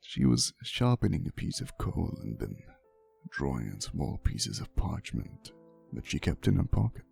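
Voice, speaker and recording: A man playing a centuries-old romantic vampire boyfriend in an intimate ASMR roleplay monologue, speaking softly and hesitantly, teasing and wistful with faint menace. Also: rumbling low, sing-song, gravelly, exaggerated voice. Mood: fond, teasing. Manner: soft, slow, hesitant storytelling with teasing asides. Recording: soft music behind the voice. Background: quiet instrumental music.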